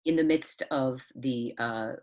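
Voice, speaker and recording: A woman from Southern California, a playwright, musing and hedging in a relaxed chat on a video call. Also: rumbling low, slow. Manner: musing, hedging, lots of fillers and restarts. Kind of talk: casual conversation over video call.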